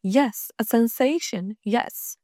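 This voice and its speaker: A woman's voice: a little high, slow, warm tone, no room sound, studio quality. A woman voicing a fussy, doting male school principal in a read-aloud story.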